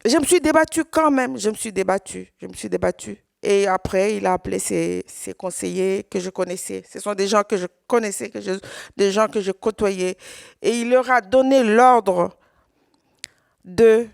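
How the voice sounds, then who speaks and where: Woman, Swahili speaker, conference testimony, halting repetitions, mid pitch, dry sound. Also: creaky, a little mellow, no room sound. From Congo. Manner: halting, with many repeated words and restarts. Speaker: survivor giving testimony.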